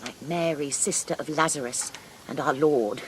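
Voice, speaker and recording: A woman's voice: low-pitched, moderate pace, a little hiss or hum, close to an everyday voice. An actress playing a prim, formal spinster missionary woman in a radio drama. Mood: tender.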